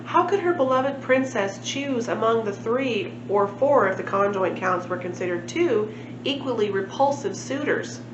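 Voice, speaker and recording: A woman's voice: a little high, expressive, moderate pace. A woman reading a children's storybook aloud as a library storytime narrator. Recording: echoey room, muffled, clear background noise.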